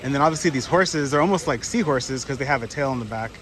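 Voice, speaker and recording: A slightly hoarse man talks through a walking tour into a handheld camera. He rambles loosely with plenty of fillers at a middling pitch. Busy street noise runs clearly behind him. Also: lively intonation, fast talker. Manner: rambling, thinking aloud with many fillers. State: slightly hoarse, coming down with a cold. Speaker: travel vlogger. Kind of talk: casual walking tour commentary. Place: outdoors on city streets.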